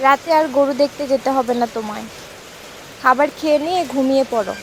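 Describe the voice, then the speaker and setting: A woman's voice: sits high, fast talker, a little hiss or hum, rough recording. A woman playing a caring village wife in a cartoon story, with a homely, fussing, concerned way of talking. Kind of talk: Bengali cartoon story acting.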